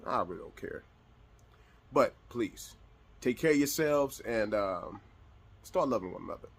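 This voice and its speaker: Husky-voiced man talking casually. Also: medium pitch, lively intonation, a little slow. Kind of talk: casual direct address to online viewers.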